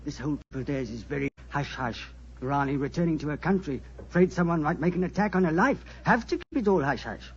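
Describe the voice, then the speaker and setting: An actor playing a chatty, boastful, gossipy ship's doctor with a husky voice in a 1940s radio drama. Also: male voice, a little high, animated, slight background noise. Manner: chatty, drawn-out, self-important. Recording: muffled 1940s radio recording.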